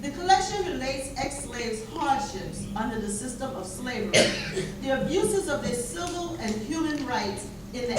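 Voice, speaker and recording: Female readers theater narrator, slightly high voice, scripted delivery, roomy distant sound with noise. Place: indoor chapel, live performance. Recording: echoey room, distant mic, clear background noise.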